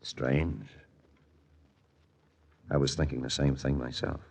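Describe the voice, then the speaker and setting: An actor playing a hardboiled, wry lieutenant in a 1940s radio spy drama, talking in a terse, deadpan way. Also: male voice, rumbling low, takes it slowly, creaky, husky, exaggerated voice. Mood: wistful, guarded. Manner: terse, deadpan first-person narration. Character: hardboiled American lieutenant, wry, jealous, narrating his own spy story. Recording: old radio recording with music underneath.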